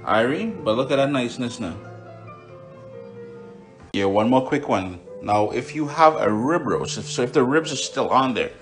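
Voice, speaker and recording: A man's voice: mid-range voice, animated, normal speed. A man with a Caribbean accent and a mid-range voice chats through cooking steps for his followers. He is instructive and informal, with playful interjections, speaking into a phone in his kitchen. Faint music plays behind him. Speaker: home cook and cooking vlogger. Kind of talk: casual cooking demonstration to followers. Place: home kitchen. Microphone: handheld phone filming the food.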